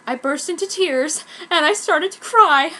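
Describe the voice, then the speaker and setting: A woman's voice: sits high, expressive, a little slow, pushed and bright. A woman reading fan fiction aloud as an amateur, with a theatrical, exaggerated delivery. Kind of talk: dramatic amateur story reading.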